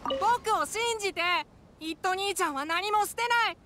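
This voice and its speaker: A woman's voice: very high voice, moderate pace, background noise. A woman voicing an earnest, pleading little boy in a video game, with a childlike voice.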